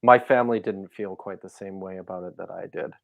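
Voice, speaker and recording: A man's voice: sits low, brisk, creaky, no echo, lo-fi sound. A man talking as a guest scholar in a casual interview, thoughtful and hesitant, with many fillers and restarts.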